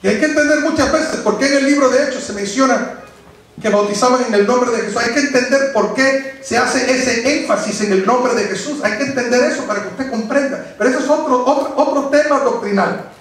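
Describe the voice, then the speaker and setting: A man's voice: very high voice, a little fast, fairly bright tone. A man preaching a doctrinal sermon to a congregation, emphatic and didactic, repeating phrases for stress and asking rhetorical questions. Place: echoey room, preaching before a congregation. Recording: very echoey, reverberant room.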